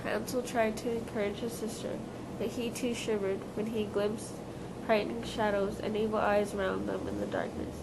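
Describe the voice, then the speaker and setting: A woman's voice: mid-range voice, lively intonation, normal speed. A woman reading scripted storybook narration aloud, occasionally stumbling on a word. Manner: reads aloud, stumbles on words. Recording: clearly noisy live recording.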